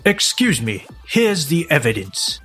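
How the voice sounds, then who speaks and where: Guy playing calm formal lawyer, pointed delivery, manga dub with faint music behind. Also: a little high, a little slow, husky, exaggerated voice. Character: composed, professional divorce lawyer confronting a client's opponent.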